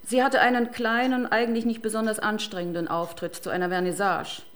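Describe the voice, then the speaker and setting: Discreet private doctor for radio crime drama, played by a woman: mid-pitched, formal, firm, guarded. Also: little pitch movement, brisk, lightly acted. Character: discreet, firm female private doctor shielding her patient.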